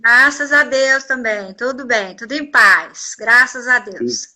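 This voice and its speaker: A woman from chats as a guest on a live stream. Her voice is high and bright. She keeps her replies short and friendly. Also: slow pace. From Minas Gerais, Brazil. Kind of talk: casual live-stream chat.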